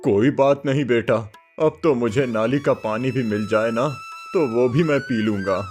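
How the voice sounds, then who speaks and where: A man's voice: medium pitch, moderate pace, darkish tone, natural voice. Humble old mendicant played by a man, pleading and devout, cartoon story, music underneath. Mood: weary, pleading. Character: humble, parched old wandering fakir, gratefully devout. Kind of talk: Hindi moral cartoon story dubbing.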